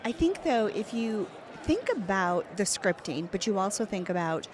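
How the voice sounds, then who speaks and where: A woman's voice: mid-range voice, big pitch swings, moderate pace. A woman hosting a conversational interview, speaking as the interviewer. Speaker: interviewer, host. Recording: faint background ambience noise.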